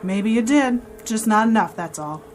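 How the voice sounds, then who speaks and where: An actress playing an officious, unbothered parking officer in a radio drama, matter-of-fact and bureaucratic. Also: female voice, on the high side, expressive, normal speed, faint music behind, lightly acted. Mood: unbothered, official.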